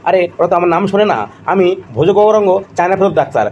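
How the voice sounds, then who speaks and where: A man's voice: sits high, racing, a little mellow, lo-fi sound, a little hiss or hum, lightly acted. A man playing a boastful, blustering, crooked quack doctor in a comic cartoon. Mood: indignant, scheming. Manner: bragging, blustering.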